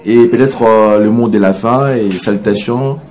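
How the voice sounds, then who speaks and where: A man's voice: fairly low pitch, normal speed, a little mellow. A male TV host running an interview, chatty and affirming, with frequent "voilà". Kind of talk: hosting an interview.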